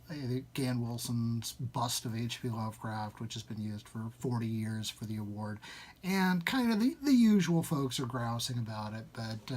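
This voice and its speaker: A man with a mid-range voice gives a live reading. He speaks as a literary reader, in the style of an informal introduction or a told story. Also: mid-range voice.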